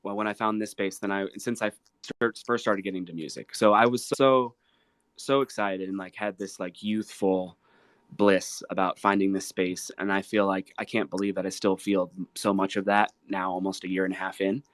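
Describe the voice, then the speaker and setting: An American man, a singer-songwriter guest, talking casually and from the heart in an earnest, effusive, halting way. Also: deep voice, level tone, clear, resonant tone, dry recording. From Ohio, United States. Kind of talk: casual heartfelt chat between live songs.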